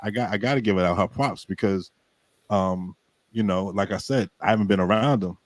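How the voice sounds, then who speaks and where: A middle-aged man hosting a podcast, talking in a relaxed and rambling way with many restarts. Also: fairly low pitch, a little fast, no room sound. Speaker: podcast host. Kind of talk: casual podcast conversation.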